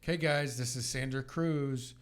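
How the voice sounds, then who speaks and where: A man's voice: medium pitch, fairly even intonation, moderate pace. A man, hosting a real estate podcast in upbeat, friendly casual chat. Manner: upbeat, friendly, loose with fillers. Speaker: podcast host. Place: studio with guest beside him.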